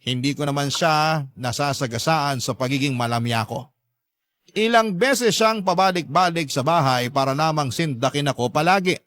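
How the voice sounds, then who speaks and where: A man's voice: on the high side, a bit bright, no echo. A man reading a scripted first-person story as a dramatic narrator.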